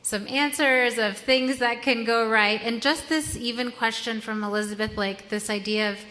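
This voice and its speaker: A woman's voice: a little high, moderate pace, sharp tone. A woman moderating an academic event, speaking loosely and conversationally, with many fillers. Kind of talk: casual moderating. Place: large lecture hall. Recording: long reverb in a lecture hall.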